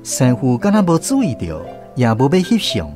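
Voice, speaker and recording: A male actor with a Taiwanese accent playing a grown man who recounts his boyhood in an animated radio drama narration. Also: medium pitch, normal speed. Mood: reflective, storytelling. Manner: animated first-person storytelling. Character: grown man fondly recounting his small-boy adventures. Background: plucked guitar music.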